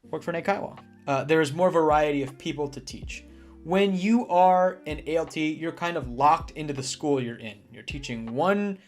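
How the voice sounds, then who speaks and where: Upbeat young man over soft guitar. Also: fairly high pitch. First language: English. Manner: earnest, with restarts and fillers. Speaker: vlogger giving advice on teaching jobs in Japan. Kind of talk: casual talk to camera. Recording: soft guitar music behind.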